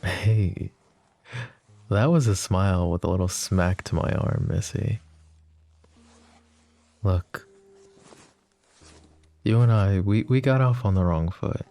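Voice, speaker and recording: Man playing a very deep, gravelly mafia lover. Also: slow pace, long pauses, warm tone, full character voice. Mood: teasing, flirty. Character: smitten mafia boss, flirty, self-deprecating, tender and possessive lover. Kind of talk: intimate ASMR romance roleplay.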